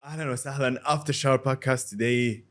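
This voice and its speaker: A man hosting a podcast interview, halting and repetitive, often echoing his guest's words back. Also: mid-range voice, fairly even intonation, faint music behind. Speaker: podcast host. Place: podcast studio.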